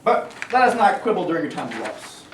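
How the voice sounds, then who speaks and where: A man's voice: high-pitched, very animated, brisk, recorded from a distance, a little hiss or hum. A man playing a bigoted, condescending steel mill executive in a staged reading, recorded in an echoey room with the mic some way off. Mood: smooth, evasive. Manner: blustering and condescending, turning oily.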